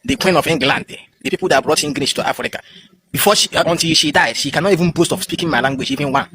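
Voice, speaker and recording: A man's voice: on the high side, fast, pushed and bright. A Nigerian man whose first language is Igbo, vlogging outdoors on a smartphone in a rambling, defiant way. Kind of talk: phone vlog.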